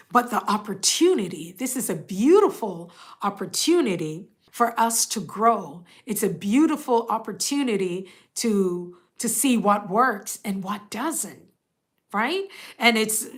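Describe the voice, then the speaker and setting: A woman's voice: mid-range voice, a bit bright. A woman hosting a casual live stream, talking straight to her viewers in a rambling, motivational way, with a stuffed-up, congested voice. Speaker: host.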